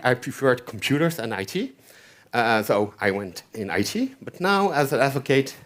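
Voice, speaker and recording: A man's voice: medium pitch, a bit bright. A man with a French accent giving a chatty conference tech talk, often checking in with the audience with "okay?". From France. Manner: chatty, keeps asking "okay?". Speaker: conference speaker. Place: conference hall stage.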